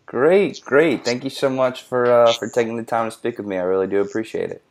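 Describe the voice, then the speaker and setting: A man's voice: mid-range voice, steady tone, fairly quick. A man hosting an interview, speaking hesitantly with many fillers and restarts. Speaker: interview host. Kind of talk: casual interview.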